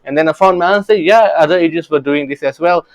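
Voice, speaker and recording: A man's voice: on the high side, fast, no echo, dull audio. A man in his thirties from Singapore, a real estate coach talking earnestly about his early career, often restarting his sentences. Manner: earnest, many restarts and "you know, right?" tags.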